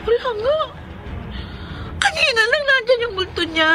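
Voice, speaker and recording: A woman's voice: very high-pitched, big pitch swings, a little slow, pushed and bright, exaggerated voice. A woman playing a terrified, haunted woman in a horror radio drama, trembling and tearful. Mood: shaken, fearful. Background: faint music.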